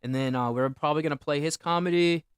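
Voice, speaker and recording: Young man, livestream host, rambling, self-aggrandizing, slightly high voice, dry close mic. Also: quick pace, clear, resonant tone, dry recording. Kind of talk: solo livestream rant.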